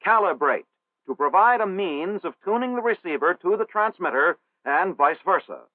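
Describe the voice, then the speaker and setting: Formal 1960s training film narrator. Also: male voice, sits high, lively intonation, no echo. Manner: formal, measured, instructional. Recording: old 1960s film soundtrack, tinny.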